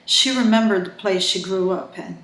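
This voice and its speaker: A woman from Brazil with a Brazilian Portuguese accent and Portuguese as her first language, reading her own thriller novel aloud with careful, halting delivery and frequent self-corrections. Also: medium pitch, fairly bright tone. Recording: roomy echo.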